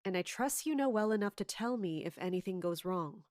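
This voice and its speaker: A woman playing a scheming, authoritative commander in an audio drama, speaking in a measured way. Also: medium pitch, expressive, a little mellow, no echo, clean studio sound, close to an everyday voice. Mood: cool, commanding. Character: scheming, commanding woman in charge of palace guards. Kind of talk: audio drama acting with first-person narration.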